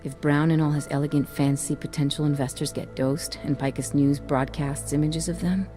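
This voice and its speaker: An actress playing a streetwise hacker ally in a video game, talking in a casual, blunt, slangy way with real concern. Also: female voice, low voice, flat delivery, moderate pace, warm tone, close to an everyday voice. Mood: blunt, amazed. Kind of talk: video game cutscene acting. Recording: music under the voice.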